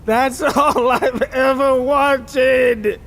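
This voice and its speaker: Man playing a slow, aggrieved captive man. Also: very high voice, fairly even intonation, fairly bright tone, pitched above the performer's own voice, exaggerated voice. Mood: exasperated. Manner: aggrieved, drawn-out complaining. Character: whining, exasperated captive man.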